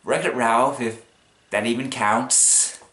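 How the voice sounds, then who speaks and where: A man giving casual, unscripted commentary in a rambling, halting way, as the host of an opinion video. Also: mid-range voice, steady tone, sharp tone. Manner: rambling, halting, chuckles as he talks. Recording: echoey, roomy sound.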